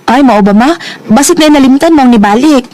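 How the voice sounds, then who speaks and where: An actress with a Bisaya Filipino accent playing a trusting young wife troubled by suspicion, in a radio drama. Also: female voice, high-pitched, a little fast, close to an everyday voice. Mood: dutiful. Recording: noisy radio drama track, ambient sound.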